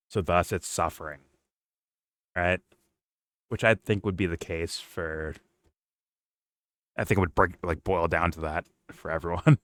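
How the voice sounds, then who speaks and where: A man's voice: deep voice, a bit bright, no echo, studio quality. A man hosting a casual reaction chat, thinking aloud and checking in with "right?". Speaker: host.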